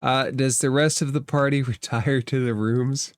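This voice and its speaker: A man running a tabletop roleplaying game as game master, narrating in a measured, deliberate way. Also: medium pitch, steady tone, smooth, dry recording, studio quality.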